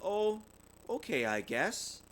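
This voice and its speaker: A man's voice: high-pitched, big pitch swings, slow, fairly bright tone, pitched above the performer's own voice, full character voice. A man voicing a young girl bear cub from a storybook, childlike, friendly and earnest. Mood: puzzled.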